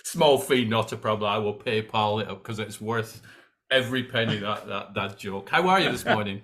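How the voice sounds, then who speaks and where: A man with a hoarse voice hosting a casual interview over a video call. He talks in a jokey, chatty, upbeat way. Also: on the low side, normal speed, fairly bright tone. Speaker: host.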